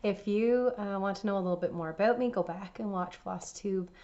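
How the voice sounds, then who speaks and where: Raspy-voiced woman hosting a crafting vlog. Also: medium pitch, brisk, muffled recording. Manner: warm, rambling, many fillers and restarts. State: raspy from laryngitis. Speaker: cross-stitch channel host. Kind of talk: casual chatty crafting vlog.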